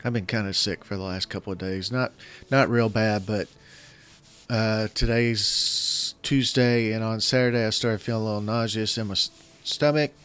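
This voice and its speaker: A man with a slightly scratchy voice, casually commenting while he plays a strategy game and thinking aloud in halting, self-correcting phrases. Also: fairly low pitch, fairly even intonation, fairly bright tone, smooth. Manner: thinks aloud, halting, restarts mid-sentence. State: slightly scratchy voice from illness and yelling. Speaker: gaming channel host. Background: faint game music.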